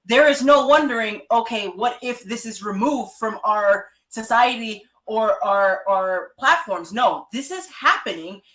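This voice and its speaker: A woman giving an interview as a guest author and life coach, speaking in an animated, emphatic way over a video call. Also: on the high side, unhurried, few pauses. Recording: echoey room.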